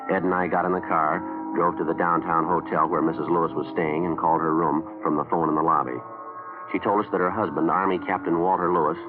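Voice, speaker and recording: A muffled old radio recording carries an actor as a male police detective with a low voice and quick delivery. He is terse and deadpan, stating things in a calm, businesslike, matter-of-fact way, in the style of 1950s radio crime drama. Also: natural voice. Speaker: narrator and lead actor.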